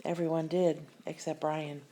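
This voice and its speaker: A woman reading a children's picture book aloud with expressive, deliberate storyteller phrasing. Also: low-pitched, slight background noise. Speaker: story reader.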